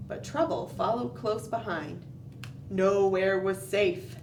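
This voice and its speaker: A woman reads a picture book to young children in an unhurried, expressive way. She leaves dramatic pauses and adds questioning asides as a storytime host. She is some distance from the mic in a roomy space, with faint noise behind her. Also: medium pitch.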